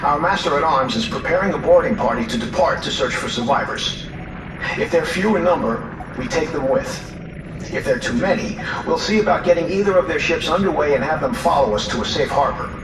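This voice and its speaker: Audio drama submarine captain, male actor: commanding, clipped delivery, fairly high male voice, roomy and distant. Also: lively intonation, brisk. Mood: composed, authoritative. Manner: formal, authoritative, clipped military speech. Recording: roomy, distant, noisy vehicle ambience.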